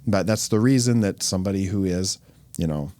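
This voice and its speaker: A man hosting a podcast as a dermatologist, explaining things in a relaxed, conversational way. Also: sits low, a little fast, dry recording. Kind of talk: casual podcast chat.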